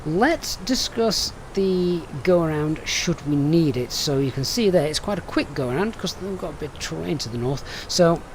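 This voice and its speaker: A man's voice: a little high, expressive, normal speed, few pauses, slight background noise, low-quality recording. A man with a Sheffield accent on a live stream, methodically briefing a flight and chatting with viewers between steps. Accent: Sheffield English. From Sheffield, England.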